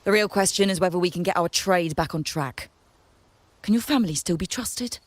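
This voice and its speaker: A woman's voice: lowish voice, brisk, dry recording, close to an everyday voice. A woman playing a curt, aggrieved, no-nonsense trader in a video game. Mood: skeptical. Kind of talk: scripted video game voice acting.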